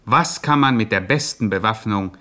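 A man's voice: on the low side, normal speed, sharp tone. A man narrating a satirical crime radio drama in a grave, mock-epic scripted style. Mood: dramatic.

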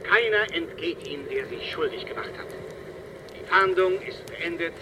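A man's voice: high-pitched, expressive, moderate pace. A male actor playing a sensationalist TV reporter in a radio play, giving urgent, emphatic live manhunt commentary. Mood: triumphant, solemn. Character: excited, sensationalist TV reporter covering a manhunt from helicopter. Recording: noisy broadcast with helicopter roar behind.

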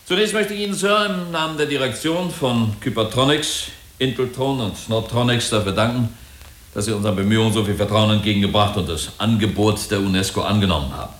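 A man's voice: fairly low pitch, fairly quick, a bit bright, lightly acted. Actor as a officious company man, echoing room. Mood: jovial, officious. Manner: officious, polite, wordy. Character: glib, jovial, evasive male company representative. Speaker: actor in a radio drama. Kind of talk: German radio drama acting. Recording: roomy, echoing studio scene.

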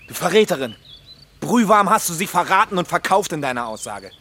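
A man's voice: high voice, sing-song, brisk, pushed and bright, a little hiss or hum, exaggerated voice. A man playing a bitter, crude, jilted young bridegroom in a German radio drama, aggressive and mocking. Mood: bitter anger.

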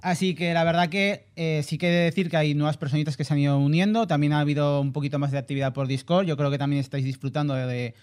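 A man's voice: on the high side, steady tone, fast talker, few pauses, darkish tone, clear, resonant tone. A man with a Spain Spanish accent hosting a casual podcast, welcoming listeners and introducing his co-hosts. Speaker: podcast host.

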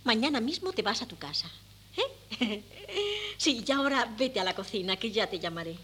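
An actress playing an excitable, scheming middle-aged mother in a Spanish radio comedy, with a Castilian accent and a fussy, exclamatory delivery. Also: a little high, normal speed. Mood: bossy, exasperated. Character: meddling, excitable, scheming mother of grown sons. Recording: noisy old radio cassette with hum.

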